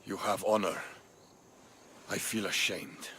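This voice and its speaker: An actor playing a proud, earnest Spartan commander in a video game, deliberate in his delivery. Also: low voice, steady tone, pushed and bright, slight background noise, exaggerated voice. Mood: ashamed.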